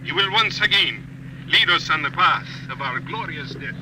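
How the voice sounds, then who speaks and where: A man's voice: a little high, lively intonation, moderate pace, close to an everyday voice. A man playing a fanatical military orator in an old radio drama, declaiming in grandiose phrases. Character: fanatical military orator proclaiming glorious doom. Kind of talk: 1950s radio drama acting. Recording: distant, noisy vintage radio recording.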